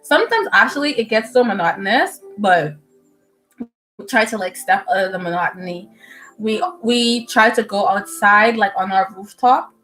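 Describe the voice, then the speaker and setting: A young woman from the Bahamas, a medical student, chatting casually with viewers on a livestream at home, animated and full of fillers and laughs. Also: mid-range voice, normal speed, poor audio. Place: indoors at home. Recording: soft music playing behind.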